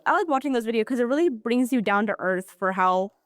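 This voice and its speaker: A teenage girl hosting a student podcast, chatting casually with lots of filler words and lively opinions. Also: a little high, fast, no echo, clean studio sound. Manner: chatty, many filler likes. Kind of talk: casual chat.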